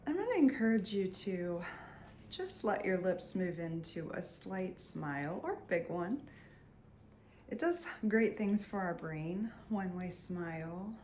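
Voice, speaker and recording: A woman leading a guided meditation in a soft, soothing voice, leaving long pauses and sometimes trailing near a whisper. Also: fairly low pitch, very animated, a little hiss or hum. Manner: soothing, unhurried, with long pauses between phrases. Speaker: meditation guide and energy healer.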